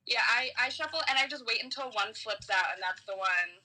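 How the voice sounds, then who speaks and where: A woman from New York City talking casually as a podcast guest, frank and informal, explaining things in a halting way. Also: fairly high pitch, bright, forceful tone, low-quality recording. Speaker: podcast guest, comedian and tarot reader. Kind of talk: casual conversation.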